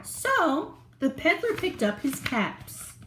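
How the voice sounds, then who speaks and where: A woman reading a picture book aloud to young children, animated and asking them questions. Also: high voice, slow pace, slight background noise. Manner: animated, asks the listener questions. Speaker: children's storyteller. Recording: roomy echo.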